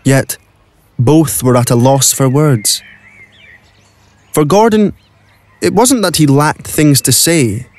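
A man's voice: a little high, takes it slowly, pauses often, darkish tone, dry recording. A man narrating a scripted audio drama as the storyteller.

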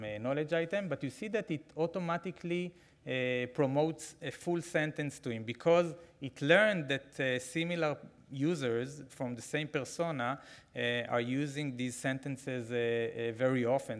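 A man in his forties with an Israeli accent, giving a keynote talk with a live demo from a podium microphone, halting with frequent fillers and restarts. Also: medium pitch. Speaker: conference keynote speaker. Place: large conference hall, at a podium.

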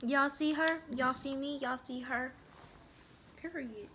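A woman's voice: high voice, steady tone, slow, a bit bright, a little hiss or hum. A young woman casually commenting on a video game at home, bickering with her brother and swearing a lot. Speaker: gaming vlogger.

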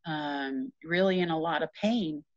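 Middle-aged female healer, low register, earnest and conversational, heard over a muffled, dry video call. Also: a little slow, no echo. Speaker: interview guest, energy healer. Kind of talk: casual explanatory conversation. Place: small room at home. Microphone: video call, shared device at arm's length.

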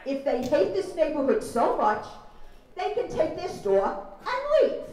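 A woman's voice: sits high, wildly swooping pitch, unhurried, a little mellow. A woman giving an emphatic prepared public comment as a resident, heard from across a large gymnasium. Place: large school-style gymnasium, public meeting. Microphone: handheld PA heard from far camera. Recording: very echoey gymnasium, distant, audience noise.

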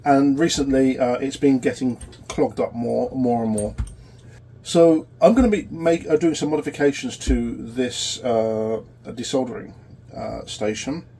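A man in his forties with a cold and a sore throat, hosting a casual workshop tutorial in a small electronics workshop, explaining in a halting way with many fillers. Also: medium pitch, mellow, slight background noise. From United Kingdom. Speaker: electronics repair channel host.

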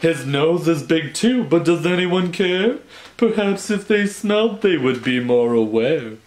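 Man playing a huffy, slow-talking yeti. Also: fairly high pitch, expressive, pitched above the performer's own voice. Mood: indignant. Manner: huffy, deliberate rhyming delivery. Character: indignant, offended female yeti defending itself. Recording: roomy home recording with background noise.

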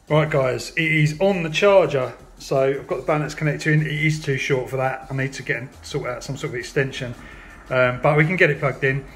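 A man's voice: a little high, fairly bright tone. A man narrating a casual hands-on tutorial, explaining informally step by step as he works. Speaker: hobbyist demonstrator.

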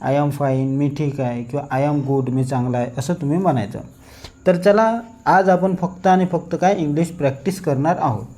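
A man with a Marathi Indian accent teaching a lesson, deliberately reading out practice sentences for learners to repeat. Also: on the high side, mellow. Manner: deliberate, repetitive, instructing listeners to repeat after him.

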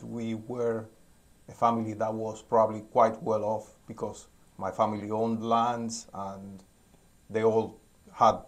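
A man in his forties from Cuba, giving relaxed, thoughtful interview answers, pausing often and restarting as he talks, filmed outdoors on a rooftop terrace on a phone at arm's length. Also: on the low side. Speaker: interview guest, DevOps engineer. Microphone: phone held at arm's length.

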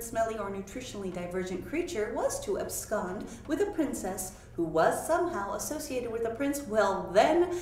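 Casting: female storyteller, higher-pitched, theatrical comic reading, recorded from afar in a very echoey room. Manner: expressive, theatrical. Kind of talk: humorous scripted storytelling. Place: library meeting room. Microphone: far camera. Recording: echoey room, far from mic, noisy.

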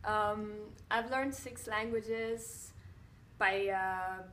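A young woman from Switzerland speaks at an ordinary middle pitch. She answers fan questions to the camera in a composed, measured way, pausing now and then to find a word. She sits in a bright room, a few feet from the camera. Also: fairly even intonation, slight background noise. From Italian-speaking Switzerland. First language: Italian and Russian. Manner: composed, measured, slight non-native phrasing with filler pauses. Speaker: blogger and TV presenter. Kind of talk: direct-to-camera Q&A. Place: bright indoor room with wooden floor, seated in chair.

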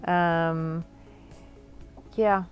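A young woman chatting easily about sailing equipment, relaxed and easygoing. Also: on the low side, drawn-out words, faint music behind. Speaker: guest sailor. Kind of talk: casual conversation on a sailboat. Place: outdoors on a sailboat cockpit.

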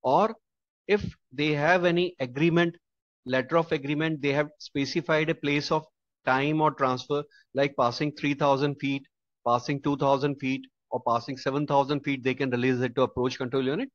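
A man's voice: mid-range voice, fairly even intonation, moderate pace, no echo. A man narrating a slide-based aviation tutorial as instructor, explaining step by step with some hesitations. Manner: explanatory, with hesitations and repeated restatements. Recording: dull lo-fi dry voice-over.

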